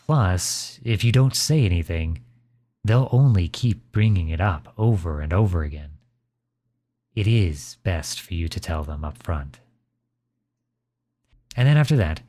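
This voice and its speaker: A man plays a kind, reassuring father in an ASMR roleplay, comforting his child. The voice sits low, with a dark, relaxed tone. He speaks slowly and softly, with gentle encouragement. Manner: soft, soothing, unhurried encouragement.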